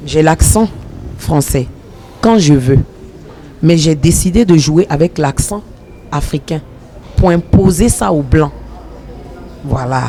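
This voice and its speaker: Congolese actress being interviewed, earnest and measured, warm mellow voice. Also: female voice, deep voice, animated. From Republic of the Congo. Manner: earnest, measured, speaks about ambition with conviction. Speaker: actress and comedian. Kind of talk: interview answers.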